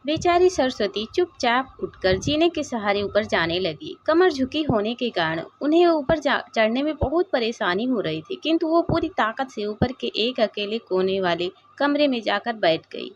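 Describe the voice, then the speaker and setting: A woman reading a sentimental moral story aloud in an expressive, dramatic way. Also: a little high, moderate pace, hardly any pauses. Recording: dull, lo-fi sound.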